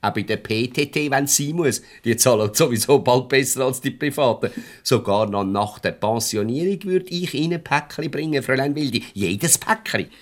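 An actor playing a fawning, gossipy office courier in a radio comedy, playful and obsequious, with a Swiss German accent. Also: male voice, medium pitch, normal speed, close to an everyday voice.

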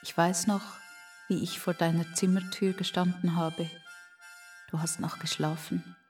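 Woman reading as a quiet, tender, apologetic father. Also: fairly low pitch, level tone, unhurried, distant mic, faint music behind, close to an everyday voice. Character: absent father writing a tender, apologetic letter. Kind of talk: read-aloud letter in children's audio story.

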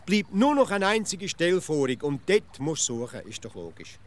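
A pedantic Swiss provincial philosopher in a comic radio play. The voice sits on the high side for a man. He nags and questions insistently, laying out nonsense as if it were strict logic. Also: sing-song, moderate pace. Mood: smugly explaining. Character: pedantic, nagging provincial everyday philosopher, male, absurdly logical.

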